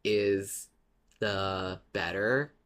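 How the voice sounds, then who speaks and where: A podcast co-host chatting casually and enthusiastically about a favourite story, quick to giggle. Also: deep voice, steady tone, a bit bright. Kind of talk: casual fan podcast discussion.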